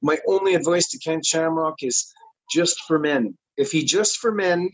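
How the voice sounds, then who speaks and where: A man, a podcast guest who talks wrestling, chatting casually in a joking, rambling, animated way. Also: a little high, moderate pace, husky, dry recording, low-quality recording. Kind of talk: casual chat.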